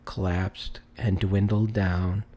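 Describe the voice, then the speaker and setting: A man narrating a classic story in a solemn, measured, theatrical style. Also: deep voice, flat delivery, warm tone. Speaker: audiobook narrator.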